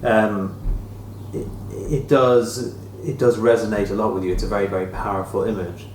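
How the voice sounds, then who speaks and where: Man, deep pitch, tentative phrasing, thoughtful panel discussion, roomy echo, away from the mic. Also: level tone, moderate pace, darkish tone. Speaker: discussion panelist questioning an author. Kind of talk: thoughtful informal book discussion. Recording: echoey room, distant mic, faint noise.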